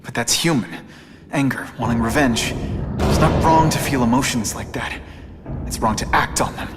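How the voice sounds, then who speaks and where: An actor voicing a young male wisecracking superhero in a video game cutscene, firm and confrontational with a quick, joking edge. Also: medium pitch, loud music behind the voice, natural voice. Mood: stern.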